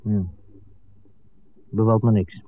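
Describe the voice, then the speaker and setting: A man's voice: fairly low pitch, level tone, takes it slowly. An actor playing a colloquial, reassuring village man in a 1960s radio play. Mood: concerned. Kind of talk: 1960s Dutch radio drama acting. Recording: narrowband vintage radio, faint noise.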